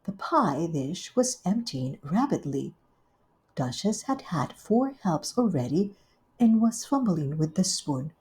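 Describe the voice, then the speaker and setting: A woman's voice: on the low side, very animated, slow, darkish tone, husky. Woman reading a storybook aloud. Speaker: storybook reader. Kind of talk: children's story read-aloud.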